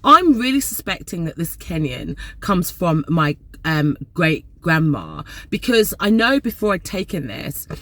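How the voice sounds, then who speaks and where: Woman from Nigeria, forties, lowish voice, chatty, emphatic repeats. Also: sing-song, unhurried, hardly any pauses, sharp tone, dry recording. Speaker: vlogger. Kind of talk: casual talk to camera.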